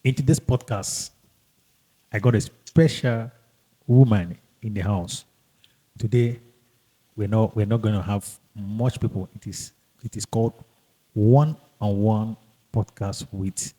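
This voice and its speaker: A man hosting a podcast in casual, halting and emphatic speech, often repeating himself. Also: on the low side, fairly even intonation, warm tone, low-quality recording. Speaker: podcast host. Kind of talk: casual podcast introduction and interview chat.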